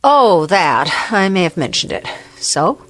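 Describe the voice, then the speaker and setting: Snooty sarcastic fashion lady, lowish voice, nervy underneath, radio play with some music behind. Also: sing-song, slow pace, a bit bright, heavily acted. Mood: haughty, sarcastic. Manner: sarcastic, wordy, theatrical. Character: haughty, sharp-tongued woman fashion editor hiding nervous fear. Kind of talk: radio drama acting.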